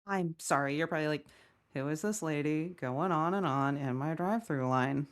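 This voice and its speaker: A woman's voice: low-pitched, normal speed, natural voice. A woman playing a wry, weary woman who gripes sardonically and swears freely in a conversational audio drama table read. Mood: casual. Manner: sardonic, swearing, exaggerated griping. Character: Lucretia Evans, wry, griping, weary woman football-game player.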